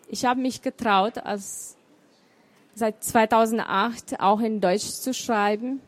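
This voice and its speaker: A middle-aged woman whose first language is Romanian, with a Romanian accent, reading her poems in a deliberate, emotional way. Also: medium pitch. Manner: slow, deliberate, emotional recitation. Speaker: poet and author. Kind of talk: poetry reading.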